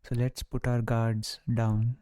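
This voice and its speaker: A man leading a guided relaxation meditation, speaking calmly and soothingly, leaving long pauses between short phrases. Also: medium pitch, smooth, no echo. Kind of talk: guided body-scan meditation.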